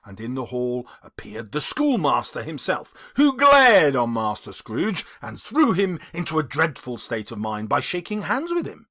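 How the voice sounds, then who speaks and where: A man narrating a classic story dramatically, with theatrical and deliberate phrasing. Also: high-pitched, a bit bright. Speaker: audiobook narrator and English teacher.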